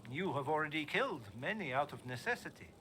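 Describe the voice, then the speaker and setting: An actor playing a solemn necromancer teacher in a video game, speaking in a formal, grandiose and deliberate way. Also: male voice, on the high side, expressive, pushed and bright, a little hiss or hum. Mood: persuasive, menacing. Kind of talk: video game character dialogue.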